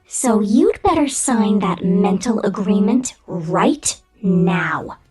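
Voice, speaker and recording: A woman voicing a vain, domineering female robot corporate boss who scorns humans, in a condescending, menacing ASMR roleplay with a whispery, deliberate delivery. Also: medium pitch, expressive, darkish tone, soft background music. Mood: possessive, condescending. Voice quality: breathy, whispery. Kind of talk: ASMR roleplay monologue, scripted.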